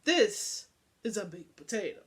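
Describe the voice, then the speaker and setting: A woman's voice: medium pitch, big pitch swings, unhurried, husky, full character voice. A woman voicing a wise, kindly old owl with a firm, matter-of-fact manner in a storybook.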